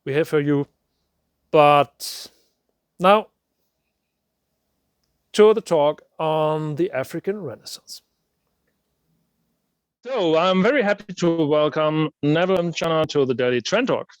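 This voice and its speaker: Higher-voiced male host, smooth and dry. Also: takes it slowly, pauses often, no room sound. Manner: hesitant, many fillers and restarts. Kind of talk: online interview talk.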